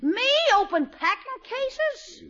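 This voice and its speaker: Piping, bright-voiced cheeky schoolboy. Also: very high voice, very animated, slow, heavily acted. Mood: indignant. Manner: earnest, brash, slangy. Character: eager, cheeky schoolboy with a part-time sales job. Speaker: actor in a radio sitcom. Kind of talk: 1950s radio comedy acting. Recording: dull old 1950s radio recording.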